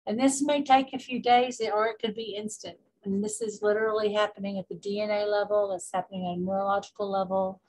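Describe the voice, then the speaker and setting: A woman guiding a meditation as a spiritual coach, speaking deliberately in short phrases with pauses and repetition. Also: medium pitch, fairly even intonation, darkish tone, low-quality recording. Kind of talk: guided meditation with spoken affirmations.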